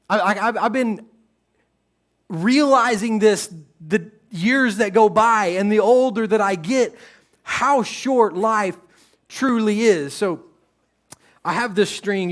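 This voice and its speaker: A man, preaching a sermon on a church stage in a relaxed, joking, conversational way. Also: high-pitched. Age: 30s. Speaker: pastor.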